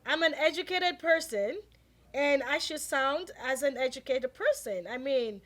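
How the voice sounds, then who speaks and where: A woman's voice: high voice, a little slow. A Nigerian woman with a Nigerian accent, a Yoruba speaker co-hosting a casual discussion and talking animatedly with many restarts. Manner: animated, lots of restarts and quoted voices. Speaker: co-host.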